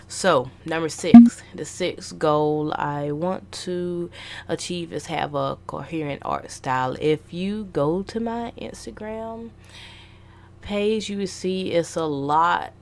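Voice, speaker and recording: A woman's voice: deep voice, slight background noise. A young woman artist casually rambling about her goals, stuffy from a flu, with many ums and restarts. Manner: meandering, lots of ums and restarts. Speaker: artist vlogger.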